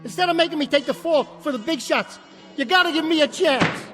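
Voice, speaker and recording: An actor playing a small, bitter, hot-tempered jockey in a radio drama, with a streetwise, combative, slangy way of talking. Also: male voice, very high voice, expressive, a little fast, over music. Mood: indignant pleading.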